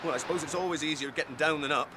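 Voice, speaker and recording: A man's voice: on the high side, very fast, background noise, natural voice. A man acting a loyal, plain-spoken, fretful hobbit servant in a radio drama, with a blunt manner. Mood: grumbling, resolute. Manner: rustic, blunt, self-scolding.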